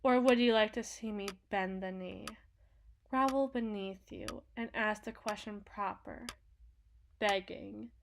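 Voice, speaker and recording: A woman's voice: mid-range voice, measured pace, vocal fry, slight background noise. A woman voicing a powerful, possessive demon in a theatrical ASMR roleplay, speaking formally and imperiously like a coldly seductive deal-maker. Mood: coaxing. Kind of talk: ASMR roleplay, dramatic monologue.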